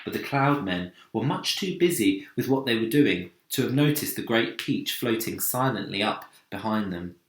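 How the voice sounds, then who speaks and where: Male storybook narrator, dramatic, lively pitch swings, unhurried, roomy recording. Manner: deliberate, dramatic. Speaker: teacher reading aloud. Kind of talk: expressive children's storybook narration. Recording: echoey room.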